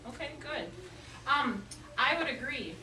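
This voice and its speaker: High-voiced woman teacher in an echoey classroom. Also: lively intonation, a little slow, sharp tone. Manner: patient, repeats pupils' answers back. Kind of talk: classroom lesson, questioning young children. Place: classroom with children. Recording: echoey classroom, distant mic, noisy.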